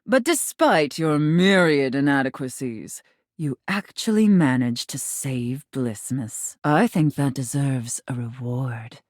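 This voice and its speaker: An actress playing a haughty, sultry, domineering mistress in a video game, commanding and condescending, with drawn-out teasing emphasis. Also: female voice, extremely low pitch, a little mellow, dry recording, clean studio sound, exaggerated voice. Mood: smug, teasing. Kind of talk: theatrical video game character acting.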